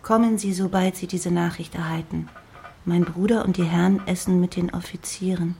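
A woman playing a gentle, modest young lady in a German radio play, softly reading in a measured way. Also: lowish voice, fairly even intonation, vocal fry, slight background noise. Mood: calm reading. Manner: soft, measured letter reading.

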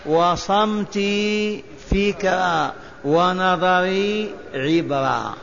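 A man from Algeria leads a religious lesson in a high voice, at an unhurried, deliberate pace. He chants each item in a sing-song recitation so that it can be memorized. The recording is dull and lo-fi, with long reverb from a large hall and a faint hiss underneath. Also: gravelly, a bit bright. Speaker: religious teacher. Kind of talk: lecture teaching by recitation and repetition.

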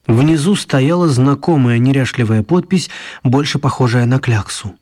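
Slightly husky male storyteller. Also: mid-range voice, normal speed, darkish tone. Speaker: narrator. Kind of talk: expressive scripted storytelling for children's radio play.

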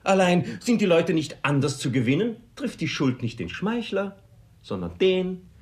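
An actor playing an ardent young suitor in a classic comedy radio play, eloquent and insinuating. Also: male voice, high-pitched, big pitch swings, normal speed. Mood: ardent, persuasive. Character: ardent, smooth-talking young male suitor.

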